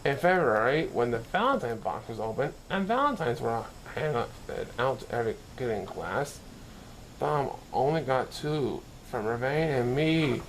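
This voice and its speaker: A man with a gravelly, fairly middling-pitched voice reads a children's story aloud. He narrates in storybook fashion and sometimes trips on a word and fixes it. There is faint noise behind him. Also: big pitch swings, a little slow, low-quality recording. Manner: occasional stumbles and self-corrections while reading. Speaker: story reader.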